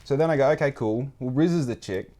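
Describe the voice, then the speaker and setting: A man hosting a casual podcast, talking in an animated way, swearing freely and repeating phrases for emphasis. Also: medium pitch, fast talker. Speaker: podcast host.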